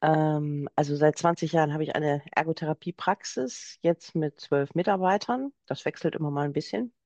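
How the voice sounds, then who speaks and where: A woman's voice: low-pitched, moderate pace, a little mellow, no room sound. A woman in her fifties from Germany, a guest on a podcast, telling at length about her work as a therapist and practice owner. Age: 50s. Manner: chatty, explains at length. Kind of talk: podcast interview.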